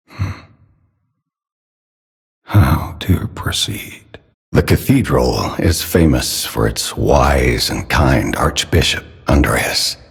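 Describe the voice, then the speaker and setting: An actor playing a grave, suspicious elderly father in a video game, husky and measured, speaking solemnly with paternal concern. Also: very deep voice, full character voice. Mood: grave, wary. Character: grave, suspicious elderly father, doting yet quietly manipulative. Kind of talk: scripted video game character dialogue. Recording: long cavernous reverb.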